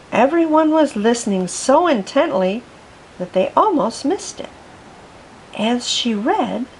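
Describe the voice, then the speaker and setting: A woman reads a children's picture book aloud at a slow, unhurried pace. Her voice sits fairly high and her storytelling is gentle and expressive. A faint hiss runs under the recording. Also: poor audio. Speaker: storytime reader.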